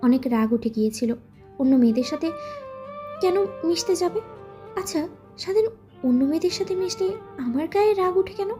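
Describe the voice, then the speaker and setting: A woman's voice: very high-pitched, lively intonation, moderate pace, pauses often, dark tone, faint music behind. A woman playing a jealous, lovesick young woman in an audio story drama monologue. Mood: regretful, confused.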